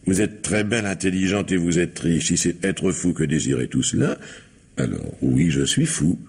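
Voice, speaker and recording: A man's voice: low voice, lively intonation, a little slow, a little mellow, breathy edge, exaggerated voice. An actor playing a suave, domineering blackmailing businessman in a radio mystery, mock-courteous and imperious. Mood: silky threat. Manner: mocking mock-courteous, imperious when irritated. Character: sinister, suave, domineering blackmailing factory owner. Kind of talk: French radio mystery drama acting.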